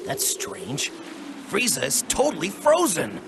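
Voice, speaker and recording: Anime game ally: young sensible fighter, earnest, skeptical, expressive pitch movement. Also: male voice, a little slow, creaky, noisy background, full character voice. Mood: puzzled. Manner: explanatory, skeptical. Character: sensible, wary, earnest young male warrior. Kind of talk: English anime video game dub acting. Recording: loud music under the voice.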